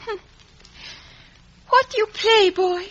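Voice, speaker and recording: A snooty young girl with a very high, bright voice. She is scornful and clipped, with lilting swoops, on a dull old radio recording. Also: slow, exaggerated voice. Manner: haughty, clipped. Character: haughty, scornful, impertinent-hating young girl. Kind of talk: 1950s radio drama acting. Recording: dull vintage radio broadcast.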